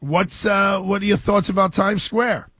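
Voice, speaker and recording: A man's voice: sits high, steady tone, measured pace, a bit bright, husky, dry recording. A man hosting a radio talk show, chatting energetically with frequent restarts.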